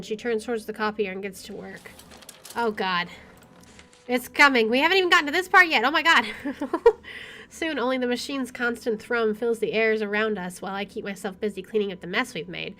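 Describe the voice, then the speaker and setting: A woman's voice: fairly high pitch, bright, forceful tone. A young adult woman streaming a game, reading a visual novel aloud with casual commentary. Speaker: gaming streamer.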